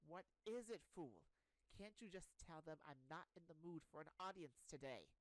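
Woman playing a cold, curt older king, low. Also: expressive, normal speed, no echo, rough recording. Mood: irritated, weary. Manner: curt, contemptuous. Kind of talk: fantasy audio roleplay.

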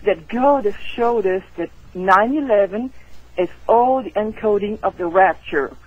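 A woman with a French accent, a native French speaker, calling in as a guest author on a radio interview and explaining things earnestly and excitedly. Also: a little high, husky, dry recording. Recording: tinny phone line.

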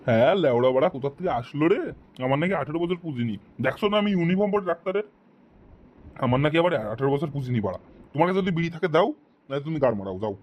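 A man's voice: on the high side, animated, very fast, muffled recording. A man voicing an exasperated, sarcastic, foul-mouthed friend in a comic cartoon. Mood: angry, grumbling.

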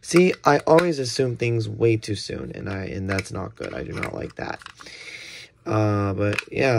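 Male unboxing host, medium pitch, casual and unscripted, halting and self-correcting, noisy tabletop recording with clicks. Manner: hesitant, rambling, self-correcting. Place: indoors at a table. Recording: clear background noise, handling clicks.

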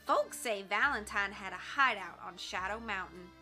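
A woman's voice: mid-range voice, moderate pace, bright, forceful tone, faint music behind, lightly acted. A woman voicing a superstitious ranch cook woman, folksy and emphatic. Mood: fearful.

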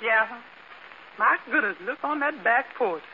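Really high voiced sassy maid, cheerful and folksy, old noisy radio comedy. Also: male voice, lively intonation, unhurried, heavily acted. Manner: folksy, exclamatory, wisecracking. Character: cheerful, sassy female housemaid with a boyfriend. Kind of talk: 1940s radio comedy acting. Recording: noisy, muffled 1940s radio recording.

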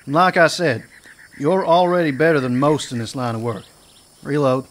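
An actor playing a gruff, blunt old-west marshal in a radio drama. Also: male voice, fairly high pitch, normal speed, natural voice. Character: gruff weary male marshal in 1909.

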